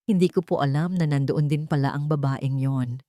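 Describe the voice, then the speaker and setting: A woman's voice: deep voice, normal speed, dark tone, no room sound, clean studio sound, lightly acted. A woman with a Filipino accent voicing a scheming husband who pleads with feigned remorse in an audiobook. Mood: nervous excuses. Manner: pleading, deferential to his elder.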